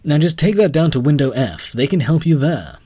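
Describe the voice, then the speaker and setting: Man doing a cheerful bank clerk, high, bright, a touch raspy. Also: big pitch swings, brisk. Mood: chirpy. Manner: bright, helpful, overly cheerful. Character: bland, chirpy male bank clerk.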